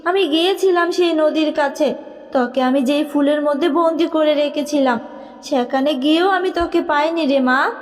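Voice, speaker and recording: A woman's voice: sits high, normal speed, big-room sound, lightly acted. A woman voicing a loving, protective fairy mother in a cartoon, speaking tenderly.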